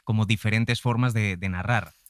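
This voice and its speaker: A man's voice: on the low side, fairly even intonation, moderate pace, fairly bright tone, smooth, no echo, clean studio sound. A man from presenting and interviewing conversationally as a podcast host.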